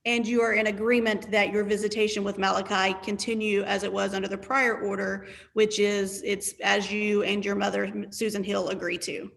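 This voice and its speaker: A woman's voice: medium pitch, flat delivery, normal speed, creaky, hardly any pauses, a bit bright. A woman attorney on a video call, asking witnesses formal yes-or-no questions in a courtroom hearing.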